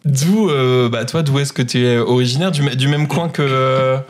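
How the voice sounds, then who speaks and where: A man's voice: mid-range voice, normal speed. Guy hosting a podcast, medium voice, asks lots of curious follow ups, kind of playful. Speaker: podcast host. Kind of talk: casual interview.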